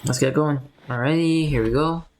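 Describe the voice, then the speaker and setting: A man's voice: on the high side, moderate pace, darkish tone, slight background noise. A man giving casual, laid-back playthrough commentary on a video game in brief offhand remarks. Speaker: game streamer.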